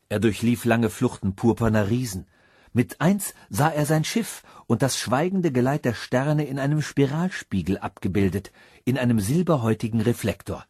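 Husky-voiced male storyteller. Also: mid-range voice, a little mellow, dry recording, studio quality. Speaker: audiobook narrator. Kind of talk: scripted fairy-tale narration.

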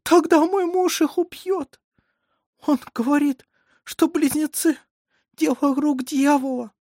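A woman voicing a frightened, exhausted peasant mother in an audiobook, pleading tearfully and slowly. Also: high-pitched, warm tone, no room sound, studio quality, pitched above the performer's own voice. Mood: despairing. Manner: tearful, slow, drawn-out pleading.

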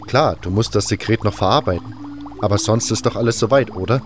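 A blunt, weary adventurer, acted by a man with a fairly low voice, in a fantasy audio drama. He moves between first-person narration and dialogue. Ambient noise is audible behind him. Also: fairly quick, lightly acted. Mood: weary, sardonic. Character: blunt, weary male mercenary hero narrating his quest. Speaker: narrator and protagonist.

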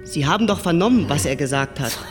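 A man's voice: on the high side, lively intonation, fast talker, faint music behind, close to an everyday voice. A man acting in a German radio drama as a doubting royal son, with a hesitant, terse manner. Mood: subdued, doubtful.

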